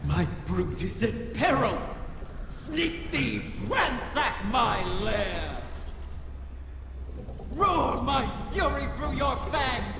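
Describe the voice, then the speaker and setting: An actor voicing an ancient, wrathful dragon mother in a video game, menacing and imperious, with grand archaic phrasing and a gravelly voice. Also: medium pitch, very animated, extremely slow, heavily acted. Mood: furious. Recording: cavernous reverb, noisy game ambience.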